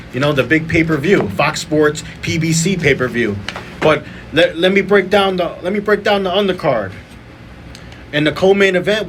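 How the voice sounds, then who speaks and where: A man's voice: a little high, a bit bright, slight background noise. A man hosting a podcast, chatting casually as he runs through fight lineups and picks. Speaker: podcast host. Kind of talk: casual boxing podcast talk.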